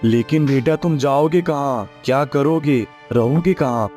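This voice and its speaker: A man voicing a kindly, calm older village uncle in a story cartoon, fatherly and consoling. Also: medium pitch, measured pace, soft background music, lightly acted. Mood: concerned, kindly. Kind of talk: acted dialogue in a moral story cartoon.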